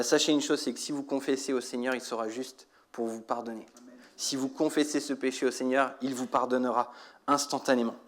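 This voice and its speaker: A man delivers a sermon as a church preacher in a medium-pitched voice. He speaks directly to his congregation, exhorting them and putting questions to them. He often repeats a phrase to drive it home.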